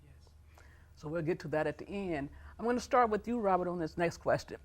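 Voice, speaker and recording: A woman's voice: lowish voice, expressive, fairly quick. Woman hosting a panel interview. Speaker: host. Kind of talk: panel interview, posing questions.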